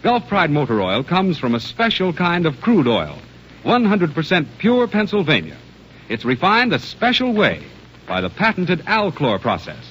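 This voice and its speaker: A man's voice: on the high side, huge pitch swings, normal speed, fairly bright tone, a little hiss or hum. An American man reading scripted announcements and commercials as a 1930s radio announcer. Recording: dull 1930s radio recording with music.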